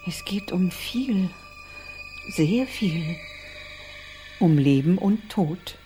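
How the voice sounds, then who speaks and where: An actress playing a timid, scrupulous fortune-teller with a husky voice and a hesitant, solemn manner in a radio crime drama. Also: female voice, on the low side, animated, very slow, mellow, faint music behind. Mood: ominous, theatrical.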